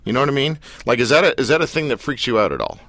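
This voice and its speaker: Lively, bright-voiced male radio host. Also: mid-range voice. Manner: joking, teasing, quick questions. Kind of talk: casual interview banter.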